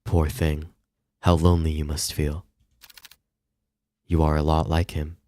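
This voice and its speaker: Man voicing a low, coaxing mermaid spirit. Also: little pitch movement, measured pace, warm tone, dry recording. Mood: pitying. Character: sweet, beckoning female mermaid spirit luring a victim.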